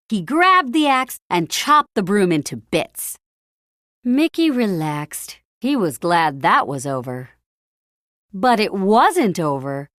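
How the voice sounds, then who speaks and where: Animated, unhurried woman storyteller. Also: medium pitch, no room sound, studio quality. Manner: deliberate, dramatic storytelling with emphatic phrasing. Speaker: storybook narrator. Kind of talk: expressive children's read-aloud narration.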